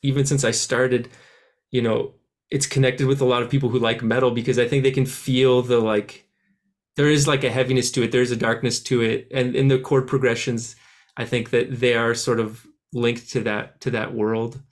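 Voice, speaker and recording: A man's voice: mid-range voice, little pitch movement, moderate pace. A man born in France and raised in Canada talks as an interview guest. He rambles loosely, restarting his sentences and scattering filler words as he goes. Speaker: musician guest. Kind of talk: casual interview answers.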